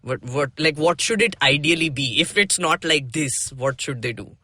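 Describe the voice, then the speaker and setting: A man's voice: on the high side, animated, normal speed, pushed and bright, no echo, studio quality. A man with an Indian accent, a podcast host chatting casually and animatedly, mixing Hindi phrases into English. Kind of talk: casual personal storytelling and discussion.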